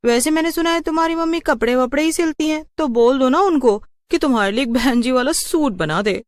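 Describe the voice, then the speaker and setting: A woman's voice: sits high, animated, fairly quick, no room sound, pitched above the performer's own voice. A snobbish rich college girl voiced by a woman sneers sarcastically in a raised voice that swoops up and down. It is a storybook drama with a dry, close sound. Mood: mocking.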